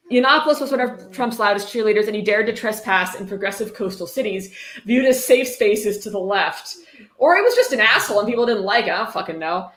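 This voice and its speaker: Female livestream host, mid-range voice, irreverent and sardonic, sweary, casual read-and-riff delivery, echoey room. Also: mid-range voice. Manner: sarcastic, irreverent, swearing freely. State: tipsy. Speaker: livestream host reading a book aloud. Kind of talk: casual livestream commentary with read-aloud passages. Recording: roomy, echoey room sound.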